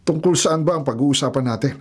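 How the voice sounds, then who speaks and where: Grave, superstitious old grandfather, raspy and deliberate, for radio drama. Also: on the high side, a little mellow. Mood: curious, courteous. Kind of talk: Filipino radio drama acting.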